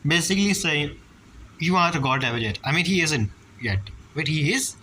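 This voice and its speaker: A man giving casual, halting commentary on a video game, musing aloud as he plays. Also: on the high side, animated, sharp tone, a little hiss or hum, poor audio. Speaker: gameplay streamer.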